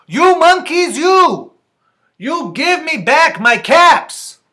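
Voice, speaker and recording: A man puts on an exasperated cap peddler from a storybook. The voice jumps high and forceful, emphatic and demanding, with words shouted out. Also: very animated, very slow, heavily acted. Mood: furious. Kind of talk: picture-book read-aloud acting.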